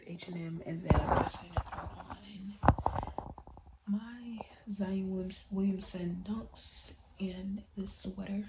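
A woman's voice: on the low side, echoey room, heavy background noise. A woman in her twenties chatting casually to a phone camera at home, rambling with many fillers. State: stuffy nose. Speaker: vlogger. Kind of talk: casual vlog chat. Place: bedroom at home. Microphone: phone camera at arm's length.

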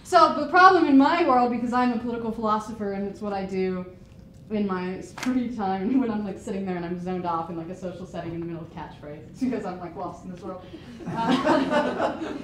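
A young woman giving an energetic, laughing conference talk, moving around the stage as she speaks. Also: mid-range voice. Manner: fast-talking, laughing, moves around the stage. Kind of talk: energetic presentation. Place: conference room with projector screen. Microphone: handheld mic. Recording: echoey room, camera from far off, noisy.

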